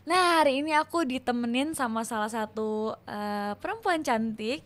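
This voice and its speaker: A woman, hosting a casual podcast chat with a guest, curious and quick to laugh. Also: fairly high pitch, expressive, fairly bright tone. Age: 20s. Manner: chatty, curious, laughs often. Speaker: host.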